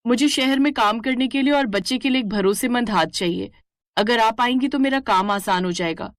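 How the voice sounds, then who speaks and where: A spoiled young city wife from an animated moral tale, performed by a woman. She pitches high and sounds whiny, haughty and demanding, in a dry, close recording. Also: normal speed, dry recording, natural voice. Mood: dismissive.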